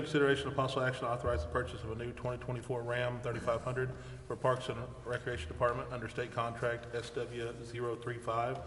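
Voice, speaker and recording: An American man runs a formal council meeting in a brisk, even-pitched voice. He works through procedure as chair, and his voice echoes around a large chamber. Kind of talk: formal meeting procedure, reading agenda items. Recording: echoey hall, faint noise.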